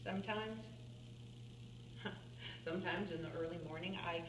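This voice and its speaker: A woman playing an elderly, folksy farm-woman painter in a theatrical monologue, halting and musing, plainspoken and wry as she reminisces. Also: on the low side, close to an everyday voice. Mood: fond reminiscing. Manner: halting, musing, with small chuckles and pauses. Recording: roomy, distant mic, faint background noise.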